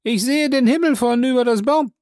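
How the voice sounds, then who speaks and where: A reader voicing a frightened male servant who shouts down from a tree in broken, pleading speech. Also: sits high, normal speed, dry recording, studio quality, pitched above the performer's own voice, natural voice. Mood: eager. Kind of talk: audiobook character voice.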